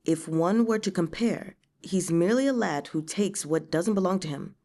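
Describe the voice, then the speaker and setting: A woman's voice: fairly low pitch, moderate pace, dark tone, close to an everyday voice. Woman voicing a shrewd, assured young lord. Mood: reassuring. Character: leisurely, shrewd young nobleman, dryly reassuring.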